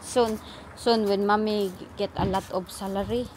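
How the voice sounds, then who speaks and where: A woman's voice: medium pitch, fairly even intonation, moderate pace, a little mellow. A woman in her thirties with a Filipino accent, chatting casually with her daughter while walking outdoors, switching between languages. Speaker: vlogger and mother. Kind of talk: casual family vlog chat. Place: outdoors on a walk. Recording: clear background noise outdoors.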